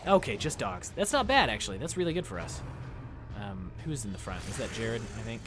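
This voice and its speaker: Animated young man streaming. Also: lowish voice, brisk, over music. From United States. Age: young adult. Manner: chatty, animated, talks to chat while playing. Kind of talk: casual gameplay commentary with chat. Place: small room indoors. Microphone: headset mic.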